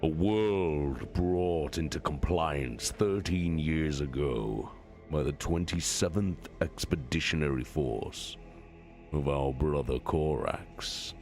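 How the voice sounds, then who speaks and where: A man voicing a very deep, gravelly, commanding warlord. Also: slow, darkish tone, faint music behind, heavily acted. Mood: grave, authoritative. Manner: formal, declamatory. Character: commanding warlord primarch, grave and measured, briefing his brothers.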